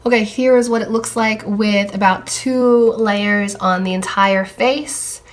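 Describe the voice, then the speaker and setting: A young woman, slightly hoarse from a cold, chatting casually as she tries out makeup products on camera. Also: medium pitch, fairly even intonation, a little slow. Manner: chatty, talking while applying makeup. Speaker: beauty vlogger.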